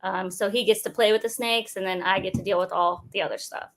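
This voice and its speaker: A woman's voice: medium pitch, steady tone, fairly quick. A woman being interviewed as a guest, talking casually and directly with a light joking manner. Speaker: snake breeder, guest.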